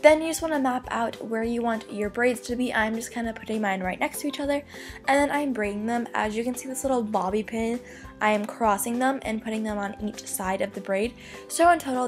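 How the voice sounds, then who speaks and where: A young woman talking through a hair tutorial in a casual, chatty way, her voice a little hoarse from losing it. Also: a little high, a little fast, soft background music. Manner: chatty, upbeat, many "like" fillers. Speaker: beauty vlogger. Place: bedroom.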